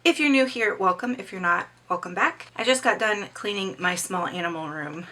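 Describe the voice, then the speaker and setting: A middle-aged woman with a slightly hoarse voice, chatting casually about upcoming books and reading their blurbs aloud. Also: mid-range voice, moderate pace, pushed and bright, soft background music. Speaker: book vlogger.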